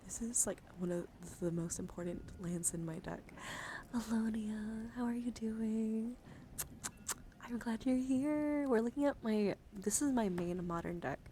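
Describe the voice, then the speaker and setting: A soft-spoken woman livestreaming in a gentle, soothing ASMR style, often slipping into whispers as she chats casually, close to the microphone. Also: on the high side, measured pace, a little hiss or hum. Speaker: livestream host showing trading cards.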